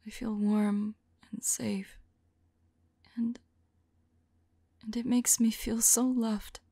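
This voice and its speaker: A woman playing a remorseful, lovelorn female vampire in an ASMR roleplay, whispering softly and tenderly in a halting, intimate monologue. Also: a little high, level tone, dry recording, full character voice. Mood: tender, relieved. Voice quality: soft, breathy whisper. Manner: slow, halting, tender delivery.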